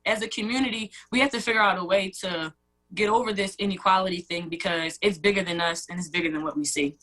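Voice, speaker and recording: A woman's voice: mid-range voice, quick pace, bright, forceful tone, low-quality recording. A woman in her early twenties giving her views as a guest in a radio interview, earnest and conversational. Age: early 20s. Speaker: student guest.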